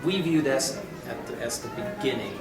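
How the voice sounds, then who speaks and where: A man's voice: mid-range voice, moderate pace, creaky, crowd noise. A man from Austria answering as an executive guest in a conversational interview, explaining emphatically and often checking for agreement. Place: conference venue. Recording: echoey, distant mic, loud music behind.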